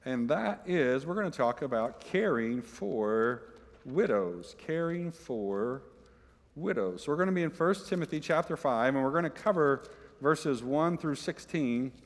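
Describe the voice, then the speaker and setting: Man, preacher, chatty sermon, asks the room questions, mid-pitched voice, long church reverb. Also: animated, unhurried. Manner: conversational, jokey, asks the congregation questions. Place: church sanctuary.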